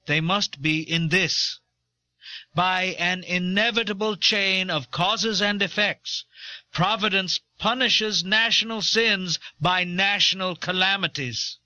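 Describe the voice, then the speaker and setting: A man playing an indignant eighteenth-century American statesman, giving a solemn, deliberate oration in a historical dramatization, on an old cassette recording. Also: sits high, bright, forceful tone, dry recording, heavily acted. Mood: grave indignation. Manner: grave, deliberate, oratorical. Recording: dull old cassette tape.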